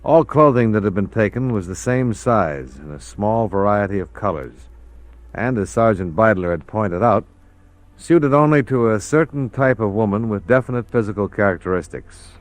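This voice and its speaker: A cool, easygoing radio detective played by a man, with a lowish voice and wry, laconic delivery in 1950s style. The recording is close and dry, without room sound. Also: lively intonation, normal speed, no room sound, close to an everyday voice. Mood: measured, narrating. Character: cool, laconic male freelance insurance investigator.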